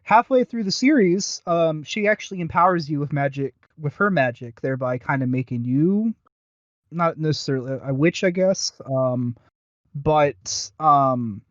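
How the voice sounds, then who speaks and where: A man co-hosting a podcast with casual, halting, rambling commentary and some swearing. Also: fairly high pitch, lively intonation, dry recording. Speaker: podcast co-host. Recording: dull lo-fi voice recording.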